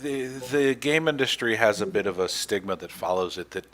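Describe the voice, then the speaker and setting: A man's voice: lowish voice, animated, moderate pace, a bit bright. A man hosting a casual interview, making jokes and asking long, rambling questions. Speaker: show host.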